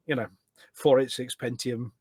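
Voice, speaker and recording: A man's voice: medium pitch, steady tone, normal speed, darkish tone, no room sound. A middle-aged man chatting casually as a podcast guest, enthusiastic and full of anecdotes. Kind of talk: casual chat. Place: indoors at a desk at home.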